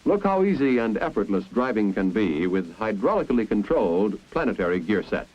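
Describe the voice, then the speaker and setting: Lively 1950s American training-film narrator, male. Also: lowish voice, a little fast. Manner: clear didactic explaining. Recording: dull, muffled 1950s film soundtrack.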